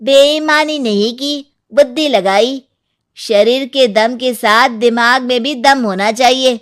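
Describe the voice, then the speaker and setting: A woman's voice: on the high side, slow pace. Woman voicing an eager, cheeky young boy. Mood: smug. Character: eager young boys, cheeky and sure of themselves.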